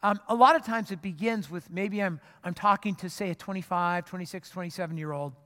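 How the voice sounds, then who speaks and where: A pastor delivers a sermon in a plain, conversational way, earnest and direct. The voice sits in a middle pitch and often stumbles over a repeated word before going on. It rings through a church sanctuary with a long reverb. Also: fairly quick, a bit bright. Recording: long reverb of a large hall.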